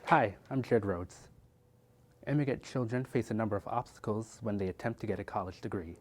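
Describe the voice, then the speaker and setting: A man news anchor introducing a story with a formal, crisp delivery. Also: on the low side, brisk.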